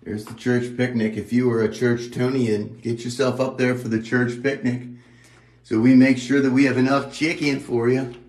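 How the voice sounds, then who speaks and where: A man's voice: mid-range voice, moderate pace. A man in his fifties with a Southern accent, a pastor giving an informal, folksy morning devotional to a phone camera in a small church sanctuary. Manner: folksy, conversational, animated. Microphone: phone camera on a tripod, close up. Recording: very echoey church room.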